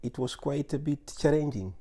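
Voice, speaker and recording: A man in his forties from Uganda, an oncologist guest answering interview questions with many pauses, fillers and restarts. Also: fairly high pitch, dark tone, breathy edge. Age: 40s.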